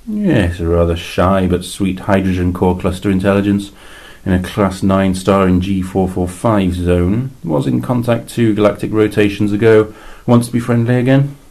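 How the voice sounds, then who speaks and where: A man's voice: fairly low pitch, moderate pace, a little mellow, close to an everyday voice. A man voicing a weary male alien envoy, explaining in a dry, exasperated way with sudden emphatic rises in a two-voice science fiction story reading.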